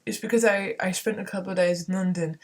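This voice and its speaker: A young woman, hoarse from a cold, ranting earnestly in a casual vlog from her bedroom, rambling with frequent restarts. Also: medium pitch, steady tone, fast talker.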